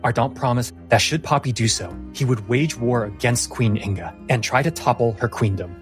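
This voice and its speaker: A man's voice: mid-range voice, moderate pace. A man narrating a tabletop fantasy game as game master, in a solemn, dramatic storyteller's style. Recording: music bed under the voice.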